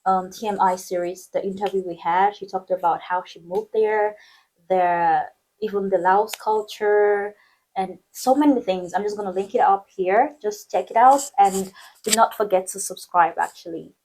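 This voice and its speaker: Woman vlogger, host, husky voice, upbeat and chatty. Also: mid-range voice, moderate pace, darkish tone, rough recording. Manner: chatty, upbeat, addresses viewers directly. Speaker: vlog host. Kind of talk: casual interview chat. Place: indoors in China.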